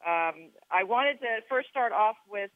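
A woman's voice: mid-range voice, animated, a little slow, no room sound. A female sports host talks in a conversational interview style, putting questions to a guest. The sound is dry and narrow, as if carried over a phone.

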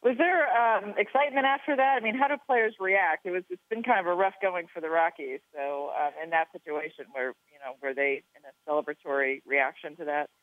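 A woman's voice: lowish voice, brisk, few pauses, no echo. Woman sports host, thin phone-like sound. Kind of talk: conversational sports interview. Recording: dry, narrow phone-like sound.

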